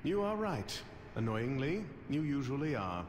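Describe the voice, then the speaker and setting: A proud dragon prince in a fantasy game. His pitch swings expressively as he delivers wry, haughty remarks, with clear ambient noise behind him. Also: sing-song, a little slow, in a large hall. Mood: wry, guarded. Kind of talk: video game character acting.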